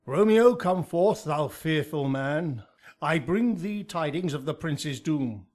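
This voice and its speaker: An actor playing an admonishing friar in a Shakespearean radio drama, with commanding, declamatory delivery. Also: male voice, on the high side. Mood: grave, urgent. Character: Friar Lawrence, urgent, admonishing holy friar.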